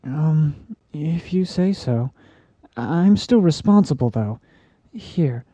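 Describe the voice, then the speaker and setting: Woman as a dark, low, shy pegasus colt. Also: lively intonation, slow pace, dry recording, exaggerated voice. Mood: bashful. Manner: hesitant, stammering, apologetic. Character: shy, soft-spoken young male pegasus pony. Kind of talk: cartoon-style audio story acting.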